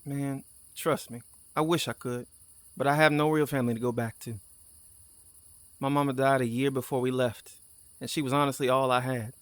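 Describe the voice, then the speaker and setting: Sci-fi audio drama sidekick: male actor as casual, teasing, candid crewmate, middle-pitched voice, dry studio sound. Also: fairly even intonation, normal speed, no echo, natural voice. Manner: casual, conversational. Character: easygoing, teasing, candid male crewmate on time-travel mission. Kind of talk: naturalistic audio drama dialogue.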